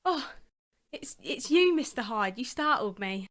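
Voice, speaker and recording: A woman's voice: a little high, big pitch swings, measured pace, heavy background noise. A woman playing a guarded, wry Victorian barmaid who sings, in stage drama style. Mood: wary, flattered.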